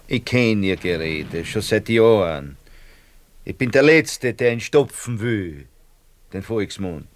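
A man's voice: fairly low pitch, no echo. An actor playing a smug, opportunistic Viennese businessman in a radio play, wheedling with mock courtesy. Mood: smug, dismissive. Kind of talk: radio play acting in dialect.

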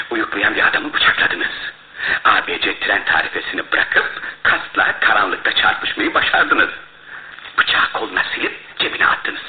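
An actor in a radio play as a shrewd, self-assured male detective who explains his case in a theatrical, deliberate way. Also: mid-range voice, a little hiss or hum. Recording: muffled, roomy radio drama recording.

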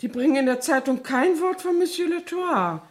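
High-voiced, nosy cleaning lady. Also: animated, moderate pace. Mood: astonished, chatty. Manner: artless, persistent, grumbling. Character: nosy, naive-seeming, chatty French cleaning woman. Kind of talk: 1970s radio play acting.

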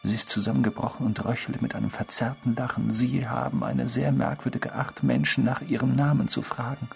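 Smug mocking killer, radio play, very theatrical, hushed then shrill, mid pitched, some music behind. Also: male voice, fairly even intonation, a little fast, gravelly. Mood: chilling confession. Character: taunting, self-satisfied male murderer, theatrical and cynical. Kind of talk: German radio drama acting.

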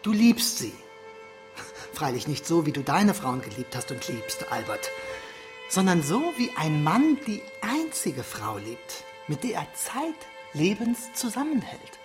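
Middle-aged film director for radio play: devoted, self-deprecating, theatrical delivery over music. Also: male voice, fairly high pitch, very animated, slow. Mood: urging, coaxing. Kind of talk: first-person radio play narration and dialogue.

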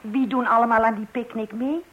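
An actress playing Jean, a sceptical wife with a dry, sarcastic way of talking, in an old radio play. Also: female voice, on the high side, steady tone, brisk, close to an everyday voice. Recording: dull old radio recording.

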